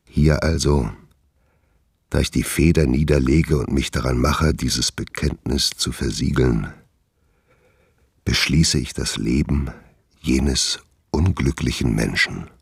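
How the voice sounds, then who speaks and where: A man acting in a radio drama as a doomed, melancholy gentleman doctor, solemn and weary in a deliberate confession. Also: very deep voice, vocal fry, husky, no echo, studio quality, heavily acted. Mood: solemn farewell.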